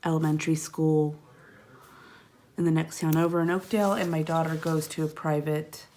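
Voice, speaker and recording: A woman in her thirties chatting casually at home while placing planner stickers, rambling and sometimes murmuring quietly to herself. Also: deep voice, flat delivery, moderate pace, a little hiss or hum. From California. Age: 30s. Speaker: planner vlogger. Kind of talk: casual plan-with-me narration. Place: at home, tabletop.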